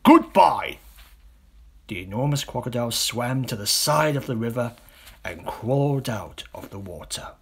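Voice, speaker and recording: A man reading a children's audiobook story as an expressive narrator. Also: medium pitch, normal speed, slight background noise. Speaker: audiobook reader.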